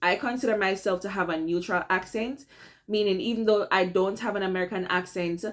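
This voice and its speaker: A woman's voice: mid-range voice, a little fast. Chatty Jamaican woman giving advice. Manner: chatty, addresses viewers as "guys", repeats "all right?". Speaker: vlogger giving career tips. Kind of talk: casual advice vlog to camera.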